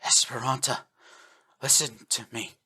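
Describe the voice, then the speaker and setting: A man voicing a dying admiral, speaking in a soft, raspy, plodding voice that stalls and breaks off between words. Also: mid-range voice, flat delivery, full character voice. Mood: resigned. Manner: slow, halting, quiet, breaking off mid-sentence. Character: aging male admiral, dying, fading over comms.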